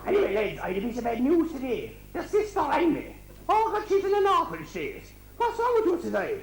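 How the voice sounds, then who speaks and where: A man's voice: very high-pitched, wildly swooping pitch, a little fast, vocal fry, exaggerated voice. A man playing a gossipy, scolding Irish countrywoman in an amateur comic pantomime, rambling and full of exclamations. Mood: confiding, gossipy. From Ireland. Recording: echoey amateur stage recording.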